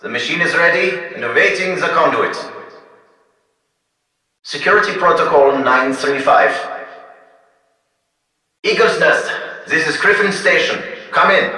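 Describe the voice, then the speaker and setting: An actor playing a stern, suspicious, pompous scientist with a formal, theatrical delivery in a video game. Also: male voice, sits high, pushed and bright, heavily acted. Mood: formal concern. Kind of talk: dramatic game voice acting. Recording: very echoey, distant hall reverb.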